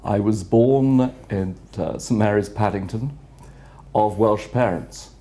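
An older man, a retired headmaster, answering interview questions in a measured, reflective way with frequent pauses, recorded on a lapel mic in an office room. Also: lowish voice, dark tone. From England. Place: office room with city view window.

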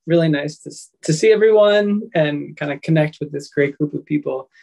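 An American man in his thirties or forties talks casually as a panelist. His voice is a little higher than most men's. He moves haltingly, often repeating words as he recalls things. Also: creaky, darkish tone. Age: 30s or 40s. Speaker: panelist, nurse. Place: indoor room, video call. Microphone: laptop mic on video call.

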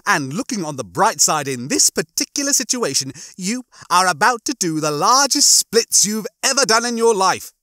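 A man performs a pompous storybook hologram who loves to gloat. The voice is pitched high and swoops theatrically, drawing words out with sneering, mock-cheerful relish in a bright, forceful tone. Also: very animated, moderate pace, hardly any pauses, no room sound, studio quality, exaggerated voice. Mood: gleeful taunting. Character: smug, gloating, pompous male hologram bunkmate.

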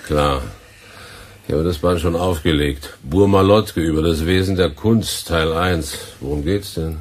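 Very deep, dry male technician. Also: measured pace. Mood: dry, curious. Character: dry, matter-of-fact male studio sound technician. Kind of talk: radio play acting.

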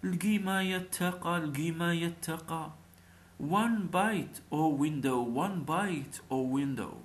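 A man's voice: a little high, slow pace, voice pushed up. A man voicing a young beggar woman turned queen in a folk tale, pleading and stubborn. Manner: pleading, repetitive.

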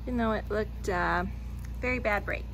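A woman farmer in a barn talking to her goats in soft, cooing baby talk, filmed on a handheld phone. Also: mid-range voice, normal speed, vocal fry, rough recording. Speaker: farmer vlogger. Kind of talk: casual talk to animals. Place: indoor barn goat pen.

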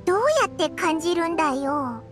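A woman voicing a tiny, cheeky floating girl companion in a game, with a squeaky childlike voice and blunt, boyish, excitable talk. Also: a little fast, close to an everyday voice. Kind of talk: game voice acting. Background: game soundtrack music.

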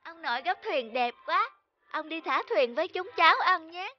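A woman's voice: very high voice, expressive, moderate pace, lo-fi sound, slight background noise, voice pushed up. A woman voicing a sweet, eager, polite little grandchild in a cartoon. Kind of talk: cartoon child acting.

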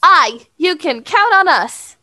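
A woman's voice: extremely high pitch, animated, takes it slowly, sharp tone, no room sound, heavily acted. A woman playing a giddy, whimsical, flower-loving young noblewoman with playful archaic phrasing in an audio drama. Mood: eager.

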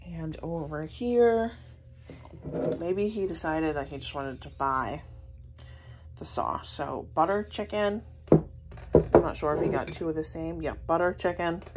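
A young American woman narrating a casual vlog in a chatty, rambling way. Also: low voice. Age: young adult. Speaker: vlogger. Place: indoors at home, kitchen table. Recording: clear background noise, handheld home recording.

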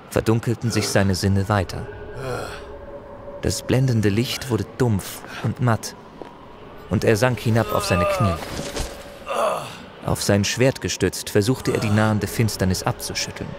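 A man narrating a fantasy audio drama in a solemn, measured, expressive storytelling manner. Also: on the low side, background noise. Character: solemn male narrator of a barbarian saga.

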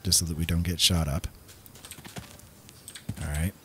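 Low-voiced man musing through gameplay. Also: little pitch movement, a little slow. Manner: easygoing, musing, talks to himself. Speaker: gameplay host. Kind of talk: casual gameplay commentary. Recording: loud game music behind. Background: game music and sounds.

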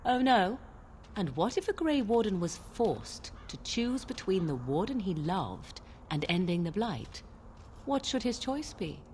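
An actress playing a wise, prim older female mage in a fantasy video game, speaking in a measured, formal, probing way. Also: medium pitch, very animated, a little mellow, a little hiss or hum. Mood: pointed. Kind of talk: video game dialogue acting.

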